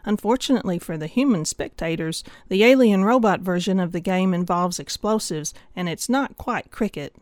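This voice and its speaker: A woman reading a scripted audiobook review in a measured, enthusiastic way. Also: fairly low pitch, darkish tone.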